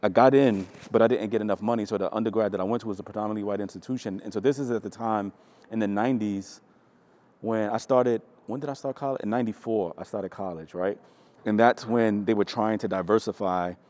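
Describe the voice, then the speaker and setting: A man in his forties, a mentor leading a relaxed panel chat in a loose, reflective way. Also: lowish voice, fast talker. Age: 40s. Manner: reflective, loose, asks with repeated questions. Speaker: staff mentor leading the discussion. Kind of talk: casual panel conversation.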